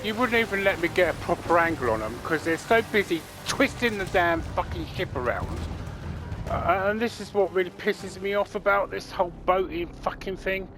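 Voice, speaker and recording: A man's voice: high-pitched, animated, normal speed. A man commenting on his own gameplay, ranting and swearing in irritation. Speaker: gaming channel host. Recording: voice over loud game music.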